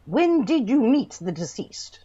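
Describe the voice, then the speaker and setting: A woman's voice: fairly high pitch, big pitch swings, a little slow, dark tone, husky, highs cut off, heavily acted. A woman playing a formal, authoritative coroner questioning at an inquest in a period radio drama. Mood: firm, reassuring.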